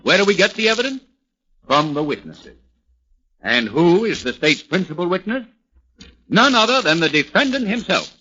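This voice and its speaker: Fiery high-pitched American prosecutor, forceful. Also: male voice, big pitch swings, normal speed, long pauses, husky, exaggerated voice. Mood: scornful, insistent. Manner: oratorical, rhetorical, sardonic. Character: fiery theatrical prosecutor addressing a jury. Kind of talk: 1940s radio acting, courtroom oratory. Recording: dull, noisy 1940s radio recording.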